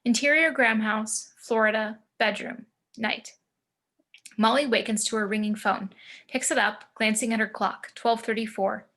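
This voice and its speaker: A woman reading screenplay action and scene descriptions in a measured, descriptive manner. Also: a little high, steady tone, bright, forceful tone. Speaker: narrator.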